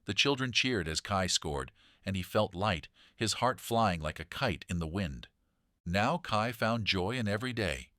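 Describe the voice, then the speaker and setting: Male narrator, deep voice, dry close sound, reading a gentle bedtime tale for children. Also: animated, a little slow, no room sound. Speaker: storyteller.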